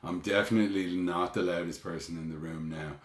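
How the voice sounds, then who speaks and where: Fifty-something Dublin guy with a big voice, telling stories at length; a touch low, in a roomy space. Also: brisk. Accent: Dublin Irish. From Dublin, Ireland. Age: 50s. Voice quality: big loud voice. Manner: animated, rambling storyteller. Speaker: guest musician. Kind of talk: casual chat.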